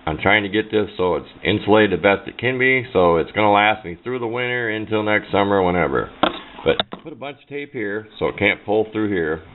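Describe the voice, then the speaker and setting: A man's voice: lowish voice, expressive, brisk, a little hiss or hum. A man giving a casual hands-on how-to demonstration in a plain-spoken, rambling, offhand way. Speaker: hobbyist presenter. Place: indoors at a workbench. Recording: tinny, band-limited camera microphone sound.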